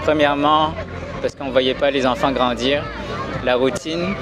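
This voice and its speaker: A man from France, a traveling father, answering questions in a calm, matter-of-fact way with frequent fillers. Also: medium pitch, unhurried. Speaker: interview guest, traveling father. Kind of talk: casual conversation. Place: busy city street outdoors. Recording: loud street crowd noise. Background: crowd and traffic.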